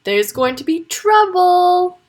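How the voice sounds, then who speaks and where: A nervous little piglet from a storybook, as a woman puts him on, stammering over his words. The voice is high and bouncy, with a slow delivery. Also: expressive, fairly bright tone.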